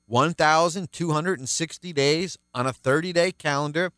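A man with a deep baritone voice, teaching the Bible on his radio program in an earnest, explanatory way. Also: moderate pace, a bit bright, clean tone, no room sound, studio quality. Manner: earnest, explanatory, counts things out.

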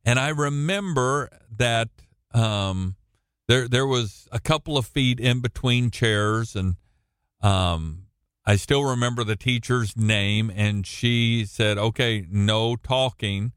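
A middle-aged American man with a booming voice, teaching from the Bible as a preacher in a halting, deliberate way with long pauses. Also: fairly bright tone, smooth, no room sound, studio quality. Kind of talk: Bible reading and informal teaching with personal anecdotes. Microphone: close studio-style microphone at a computer.

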